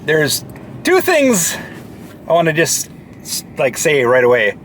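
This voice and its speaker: A man reviewing movies in casual, profanity-laced banter, talking in an animated way. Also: on the high side, slow pace. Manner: animated, sweary. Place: inside a car. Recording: noisy, vehicle ambience.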